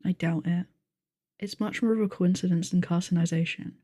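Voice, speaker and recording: A woman acting a rule-bound, blunt creature who runs the tabletop game. Her voice is mellow and very dry, close and without room sound. She speaks matter-of-factly, with a pedantic streak, in ASMR roleplay style. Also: on the low side, fairly even intonation, brisk, no echo, close to an everyday voice. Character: strict, blunt, rule-following game master with sensitive ears, female nonhuman.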